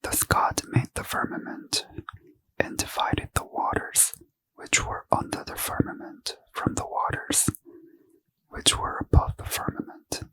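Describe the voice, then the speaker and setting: Guy whispering asmr bible reading real slow, bright crisp tone, dry close sound. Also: dry recording, low-quality recording. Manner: slow, soft unvoiced whisper. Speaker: host.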